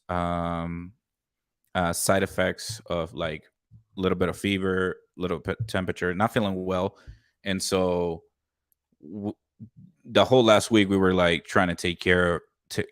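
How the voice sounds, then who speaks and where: Deep-voiced upbeat young podcast host. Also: male voice, flat delivery, measured pace, clear, resonant tone, dry recording, clean studio sound. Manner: loud, upbeat, swears freely. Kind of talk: casual chat.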